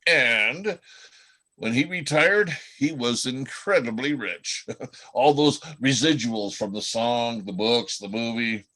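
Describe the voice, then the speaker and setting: A man's voice: medium pitch, expressive, slow pace, bright, forceful tone, heavily acted. A man reading the part of a wry, world-weary old reindeer telling stories in a radio play. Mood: wry, reminiscing.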